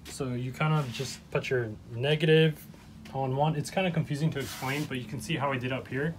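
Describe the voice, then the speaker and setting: A man's voice: mid-range voice, fairly even intonation, fairly quick, slight background noise. A young man co-hosting a van build vlog, explaining his work in a plain, practical way. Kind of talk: casual explaining and chat. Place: bus interior under construction.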